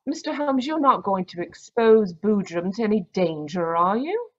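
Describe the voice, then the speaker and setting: Woman acting nice married lady in old radio mystery, gentle, polite, middle voice, muffled call audio. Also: mid-range voice, big pitch swings, normal speed. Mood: kindly. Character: Mrs. Watson, kind, tactful married Englishwoman. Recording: dull, lo-fi video call audio.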